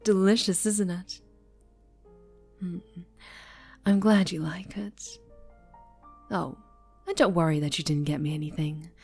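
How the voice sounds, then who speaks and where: A woman playing a sinister, obsessively doting dark-angel superhero in an intimate ASMR roleplay, cooing and whispering with sweet menace. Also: lowish voice, fairly even intonation, a little slow, pauses often, husky, faint music behind.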